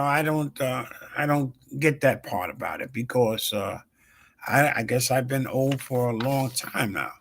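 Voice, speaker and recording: A man in his sixties hosting his own show, talking casually with lots of pauses and fillers and greeting viewers by name. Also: medium pitch, vocal fry. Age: 60s. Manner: rambling, halting, many fillers. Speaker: show host. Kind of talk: casual live-stream talk.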